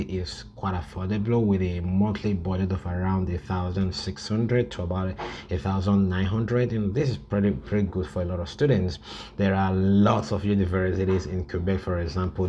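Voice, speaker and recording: Low-voiced man presenting an informal list video. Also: darkish tone, muffled recording, slight background noise. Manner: frequent "you know" filler, halting. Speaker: YouTube presenter.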